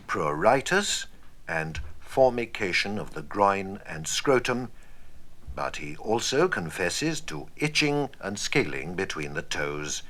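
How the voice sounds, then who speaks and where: Man acting a bright-voiced, expressive hospital doctor. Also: fairly low pitch, slight background noise, heavily acted. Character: brisk, courteous male hospital dermatologist at work. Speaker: doctor in a scripted radio play. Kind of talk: educational radio play acting, dictation and phone calls.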